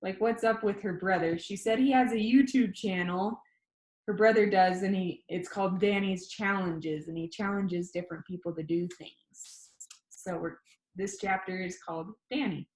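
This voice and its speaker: Woman, medium pitch, storybook narration, informal, expressive, teacherly, roomy echo. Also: moderate pace. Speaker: teacher reading aloud. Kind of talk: storybook narration read aloud. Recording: echoey room.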